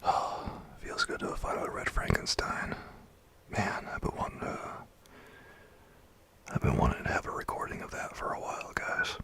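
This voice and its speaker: A man chatting with his livestream viewers in a soft whisper, rambling and chuckling as he goes. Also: very high voice, wildly swooping pitch, moderate pace, vocal fry.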